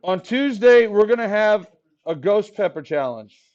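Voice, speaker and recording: A man in his forties teaching high school math to a live class, joking with the students as he goes. His voice sits high for a man and is a little hoarse. The classroom recording is dull and lo-fi. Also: lively intonation, a little slow, darkish tone. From Texas Rio Grande Valley. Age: 40s. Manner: playful, jokey, talks to the class. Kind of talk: classroom lecture.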